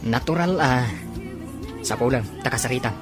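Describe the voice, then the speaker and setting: An actor playing a blunt friend who gives advice. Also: male voice, mid-range voice, moderate pace, music in the background, close to an everyday voice. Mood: advising.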